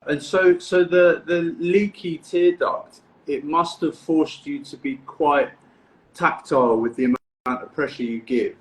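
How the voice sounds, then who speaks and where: A man from the UK, a freediving coach, hosting a casual podcast interview in a relaxed, encouraging way, often repeating words as he starts a thought. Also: on the high side, unhurried, roomy sound, slight background noise, rough recording. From United Kingdom.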